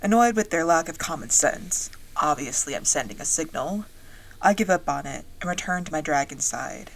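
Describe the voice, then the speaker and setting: A woman playing a wry dragon-riding soldier, narrating a fantasy audio drama in the first person in a measured storytelling way. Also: low voice, animated, a little hiss or hum, rough recording. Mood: composed, watchful.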